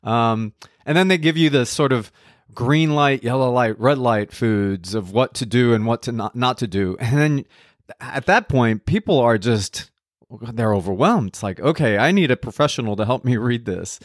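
A man's voice: mid-range voice, expressive, moderate pace, no echo. Wry man, dry close sound. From Colombia. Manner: conversational, wry, lightly joking. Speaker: nutrition counselor. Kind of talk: podcast talk.

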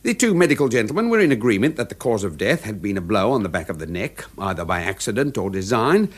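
An actor playing a discreet, unflappable English manservant in a classic radio drama, formal, precise and deferential. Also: male voice, on the low side, big pitch swings, quick pace, slightly rough. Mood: measured reporting.

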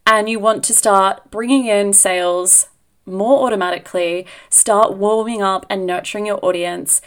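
A woman's voice: medium pitch, fairly even intonation, normal speed, fairly bright tone, clear, resonant tone. A woman hosting a podcast, speaking in an effusive, conversational way as she introduces and interviews. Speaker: podcast host.

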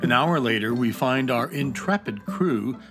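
A man's voice: mid-range voice, animated, a little slow, loud music behind the voice. A man narrating a scripted children's fantasy adventure story in storybook style.

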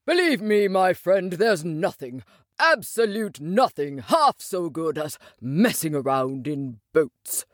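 A woman's voice: lowish voice, very animated, normal speed, no echo, studio quality. A woman voicing a cheery, easygoing male water rat in a storybook, with a hearty, breezy and dreamy manner. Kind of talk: animated storybook character reading.

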